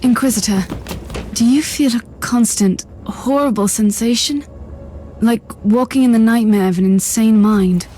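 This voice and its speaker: A woman's voice: fairly high pitch, unhurried, mellow, faint music behind, natural voice. A woman playing a cynical, defiant young heroine in a video game, with a dry, resolute delivery. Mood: brooding. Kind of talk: dramatic video game acting.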